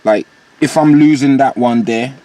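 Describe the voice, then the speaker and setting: A man with a London accent, a rapper guest in a candid interview, telling stories in an animated way with London slang. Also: moderate pace. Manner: lively, rising pitch when quoting himself.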